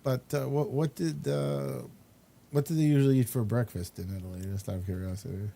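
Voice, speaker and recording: A man hosting a casual chat interview, speaking in a halting, unhurried way. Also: mid-range voice, fairly even intonation, gravelly, mellow. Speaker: show host. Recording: noticeable background noise.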